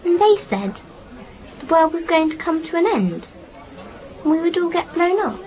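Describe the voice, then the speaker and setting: A very high, animated voice of a little English schoolgirl in a radio drama. She is candid and earnest, with voices heard behind her. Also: moderate pace, some chatter behind, poor audio. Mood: earnest, puzzled.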